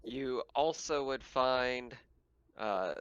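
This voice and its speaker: A man casually narrating a tabletop roleplaying game as game master, pausing often as he describes things. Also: medium pitch, fairly bright tone, smooth. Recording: dull, muffled voice track.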